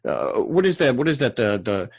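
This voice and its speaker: Male actor as chatty small-town helper, TV drama; rough-edged voice, animated intonation, stammering delivery. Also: mid-range voice, moderate pace. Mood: fumbling, earnest. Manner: stammering, repeats himself. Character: Addie, chatty insistent small-town man, neighborly helper. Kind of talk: table read of a TV drama.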